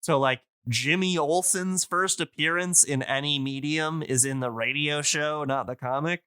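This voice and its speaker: A man with a bright, smooth voice hosts a casual podcast. He explains things like an enthusiast, wandering and nerdy in his phrasing. The sound is very dry, with no room around him. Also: fairly high pitch, moderate pace, dry recording. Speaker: podcast host.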